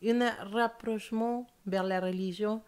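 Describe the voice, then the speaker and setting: A woman's voice: medium pitch, steady tone, unhurried, a little mellow. A young woman whose first language is not French, giving a monthly tarot reading in an earnest, hesitant way with many fillers and restarts. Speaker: tarot reader.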